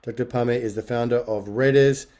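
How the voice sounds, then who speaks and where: A man's voice: medium pitch, fairly even intonation, moderate pace, a little mellow. A man moderating a panel, reading out a guest's introduction with small slips and corrections. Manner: reads with slips and self-corrections.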